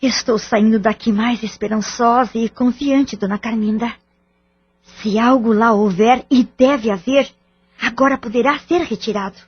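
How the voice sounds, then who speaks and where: A woman's voice: fairly high pitch, measured pace, breathy edge. A woman playing an anxious, polite married mother in an audio drama, speaking formal Brazilian Portuguese with careful, pleading delivery. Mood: hopeful. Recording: dull lo-fi audio drama recording.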